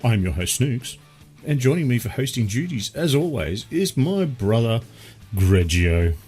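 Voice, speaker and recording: An Australian man hosting a gaming podcast in a laid-back chat with his brother, still a little croaky from a cold. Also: medium pitch, sing-song, a little slow, a little mellow, faint music behind. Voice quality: husky. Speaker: podcast host. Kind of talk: casual chat.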